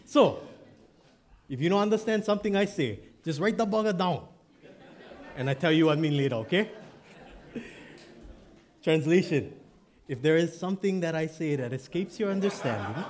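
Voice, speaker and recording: A man's voice: fairly high pitch, a little mellow, a little hiss or hum. A male pastor from Hawaii with a Hawaiian Pidgin accent, preaching in a joking, conversational way with tag questions. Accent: Hawaiian Pidgin English. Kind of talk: sermon.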